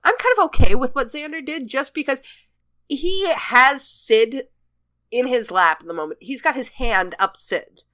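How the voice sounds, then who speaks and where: A woman's voice: high-pitched, expressive, a little slow, sharp tone, dry recording. A woman co-hosting a podcast, chatting casually as she discusses a show. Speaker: podcast co-host. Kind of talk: casual chat, TV episode discussion.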